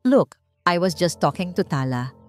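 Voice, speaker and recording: A woman's voice: fairly low pitch, big pitch swings, measured pace. A woman voicing a cruel, haughty rich bride in an audio drama, taunting and falsely sweet, over music. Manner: taunting, switches to sugary sweetness.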